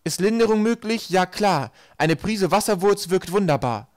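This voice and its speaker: Storybook teenage girl, voiced by a man: raised pitch, earnest, resourceful, insistent. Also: animated, normal speed, no echo, studio quality. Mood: reciting.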